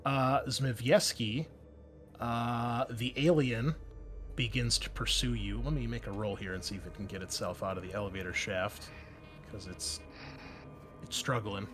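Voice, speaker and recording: A man's voice: mid-range voice, measured pace, noisy background. A man running a tabletop roleplaying game, narrating scenes and explaining rules to players in a casual, informal way.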